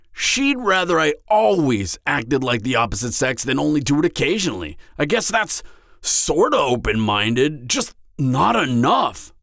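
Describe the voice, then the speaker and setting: A man playing a vulnerable, earnest genderfluid half-giant mercenary in an intimate audio roleplay, speaking haltingly with stammers. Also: mid-range voice, creaky, a bit bright, no echo, studio quality, heavily acted.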